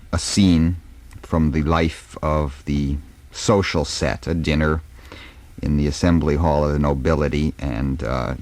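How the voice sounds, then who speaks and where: Low-voiced, measured male radio host. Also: a little mellow, no echo. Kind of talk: classical music radio commentary. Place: radio studio.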